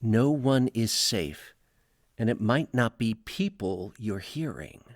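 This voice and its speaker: A man playing a reserved, wary former professor in an audio drama, formal and deliberate in his speech. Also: lowish voice, big pitch swings, dry recording, clean studio sound, full character voice. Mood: grave warning. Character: reserved, wary male former philosophy professor back from away.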